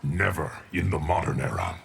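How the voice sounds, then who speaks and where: An actor playing a solemn, ageless guardian of time in a video game, speaking in a measured, formal way. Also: male voice, sits low, fairly even intonation, creaky, a bit bright, breathy edge, exaggerated voice. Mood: solemn, stern. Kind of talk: video game character dialogue.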